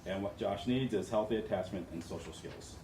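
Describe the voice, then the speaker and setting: Distant, low-voiced man reading aloud, roomy. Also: a little fast. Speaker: training participant reading aloud. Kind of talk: reading a first-person character profile aloud. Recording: roomy, distant mic, faint noise.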